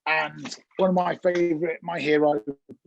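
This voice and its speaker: Relaxed man on a video call. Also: a little high, normal speed, gravelly, no echo, lo-fi sound. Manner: relaxed, filler-heavy, laughs easily. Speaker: guest. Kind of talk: casual video-call chat.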